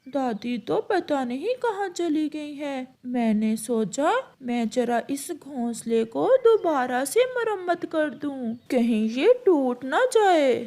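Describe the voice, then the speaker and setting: An innocent little boy crow from a cartoon, voiced by a woman, explains things earnestly. The voice is high and unhurried, with an artless manner. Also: darkish tone, exaggerated voice.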